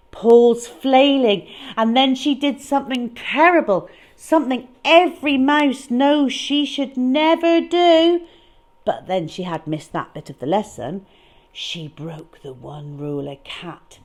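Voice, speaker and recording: A woman reading a picture book aloud as a children's storyteller, with dramatic, deliberate delivery and emphatic stresses. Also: medium pitch, a little mellow.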